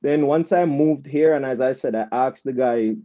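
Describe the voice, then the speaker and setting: A man's voice: medium pitch, normal speed, dark tone, no room sound, highs cut off. A man from Jamaica with a Jamaican accent, a guest coach telling casual anecdotes with quoted dialogue and frequent "right?" tags. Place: video call.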